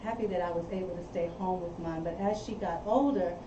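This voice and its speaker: A woman's voice: fairly low pitch, brisk, dull audio. A woman speaking earnestly and candidly as a podcast guest in a casual group chat. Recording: roomy, distant mic, clear background noise.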